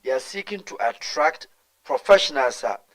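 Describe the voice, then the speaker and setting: A middle-aged Ghanaian man answers an interviewer's questions in a voice pitched a little higher than most men's. He speaks emphatically, mixing in Twi and now and then stumbling into repeated words. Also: lively intonation, moderate pace, a bit bright. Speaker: guest.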